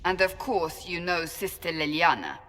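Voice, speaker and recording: A woman's voice: fairly low pitch, expressive, normal speed, a bit bright, soft background music. An actress playing a stern, blunt warrior woman in a video game, formal and direct in manner. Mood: brisk, formal. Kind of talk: video game character dialogue. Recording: long reverberant hall.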